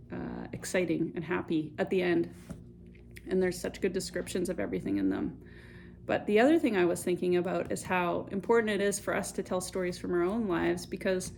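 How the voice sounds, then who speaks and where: Lady doing kids story time, bit of creak in her voice, chatty n expressive, faint noise behind. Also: on the low side. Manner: expressive, conversational, addresses young viewers directly. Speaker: host of a children's story time. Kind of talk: picture-book read-aloud with chatty asides.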